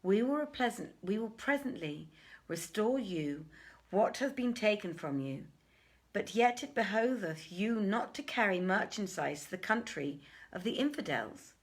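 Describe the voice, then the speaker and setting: A woman reads the part of a stern warrior prince in a storybook. The speech is slow, formal and commanding, in a mid-range voice with an air of authority. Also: mid-range voice, lively intonation. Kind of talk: storybook character reading.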